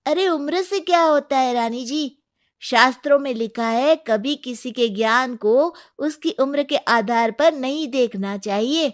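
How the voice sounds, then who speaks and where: An eager, cocky young man from a cartoon story, performed by a woman. The voice sits fairly high and moves slowly, earnest and ready to argue. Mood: argumentative.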